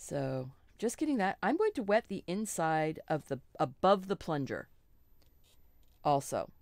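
A middle-aged woman with a slightly froggy voice, hosting a relaxed live painting lesson and chatting reassuringly with little asides and self-corrections. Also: low-pitched, a little slow, dry recording. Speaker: art teacher hosting a live stream.

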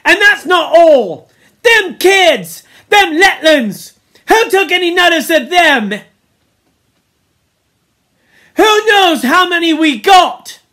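A woman's voice: big pitch swings, slow, fairly bright tone, heavily acted. A woman voicing an indignant, panicky young schoolboy who whines and scolds in ungrammatical English for a storybook reading. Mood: aggrieved protesting. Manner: ungrammatical, shrill protesting tirade.

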